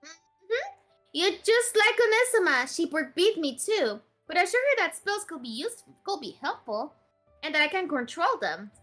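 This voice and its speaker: A woman voicing a playful, pouty, clingy young female mage from an anime visual novel, excitable and stubborn. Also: extremely high pitch, moderate pace, soft background music, heavily acted. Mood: defensive, pouty.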